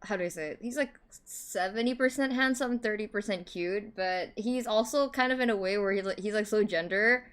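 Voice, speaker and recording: A woman chatting casually while playing a video game, bubbly and giggly, her voice a little hoarse from singing strain. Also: mid-range voice, brisk, hardly any pauses. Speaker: streamer. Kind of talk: casual let's play chat. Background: game audio.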